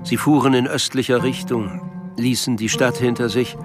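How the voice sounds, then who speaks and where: A man's voice: mid-range voice, fairly even intonation, moderate pace, over music, lightly acted. A man reading scripted literary narration as the sober storyteller of a radio play. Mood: sober, measured.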